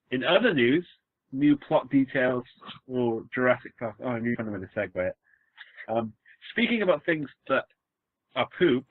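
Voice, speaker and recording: A man's voice: mid-range voice, breathy edge, no room sound. Welsh man on a tinny podcast line. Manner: rambling, joking, trails off and restarts. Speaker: podcast co-host. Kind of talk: casual podcast chat. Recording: phone-like lo-fi dry sound.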